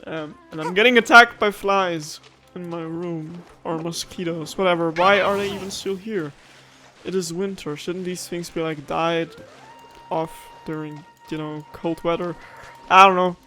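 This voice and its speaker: A man's voice: high-pitched, fairly even intonation, a little slow, bright, forceful tone. A man giving casual let's-play commentary, musing aloud and rambling with playful complaints. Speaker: gaming streamer. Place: own room at home. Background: game music.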